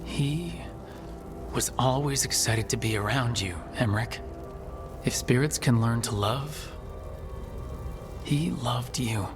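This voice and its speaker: An actor playing a kind, wry young adventuring leader in a video game. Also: male voice, medium pitch, takes it slowly, long pauses, lightly acted. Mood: gentle, consoling. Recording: music behind the voice.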